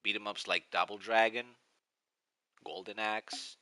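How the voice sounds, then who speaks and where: A man's voice: fairly low pitch, fairly even intonation, measured pace, sharp tone, no echo. A man in his forties with a Greek accent, rambling and nostalgic as he chats casually on a live stream. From Greece. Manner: rambling, nostalgic, restarts often.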